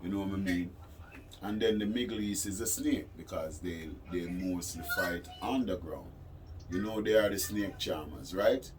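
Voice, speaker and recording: A low-pitched man speaks with a Caribbean accent in an insistent, sermonizing manner. He repeats words to drive his points home as he explains his views in an interview, with clear ambient noise around him. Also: normal speed. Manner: preaching, insistent, repeats phrases for emphasis. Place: studio, during a phone call. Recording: noisy ambient room recording.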